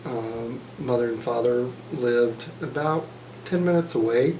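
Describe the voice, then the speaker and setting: A man in his fifties from Mississippi, giving an oral history interview in a measured, thoughtful way with frequent fillers and restarts. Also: medium pitch, warm tone, reverberant, slight background noise, poor audio. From Mississippi, USA. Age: 50s.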